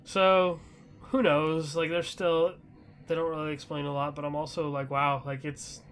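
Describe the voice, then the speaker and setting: A man's voice: fairly high pitch, flat delivery, normal speed, a bit bright, a little hiss or hum. A man hosting a podcast, chatting casually about gaming news in a rambling, loosely conversational way. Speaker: podcast host.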